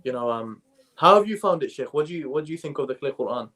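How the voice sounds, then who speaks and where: A man on a live-stream panel speaking hesitantly and softly, with many restarts and fillers. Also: medium pitch, animated, fast. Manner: hesitant, restarts often, soft-spoken. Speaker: panel guest. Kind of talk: casual discussion.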